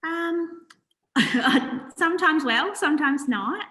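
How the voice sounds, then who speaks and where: A soft-spoken woman, a charity founder, talking earnestly in a casual interview with many pauses and fillers. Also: high-pitched, expressive.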